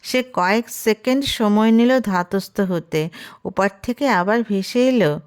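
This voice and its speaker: A woman narrates a scripted romantic tale. Her pitch sits in the middle range for a woman, and she moves through the sentences at a slow, measured pace. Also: clean tone. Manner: reads character dialogue within the narration. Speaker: storyteller.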